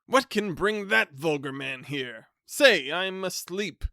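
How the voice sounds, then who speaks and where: A man's voice: high voice, animated, slow, vocal fry, pushed and bright, no echo, clean studio sound, pitched above the performer's own voice, heavily acted. A man voicing a fussy, nervous bachelor who stammers. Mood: flustered. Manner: stammering, flustered.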